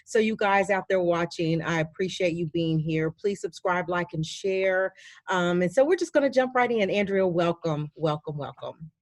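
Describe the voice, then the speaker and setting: A woman hosting an interview in an effusive, chatty way with many pauses and fillers. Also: fairly low pitch, lively intonation, no echo. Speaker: host. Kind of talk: warm upbeat interview chat.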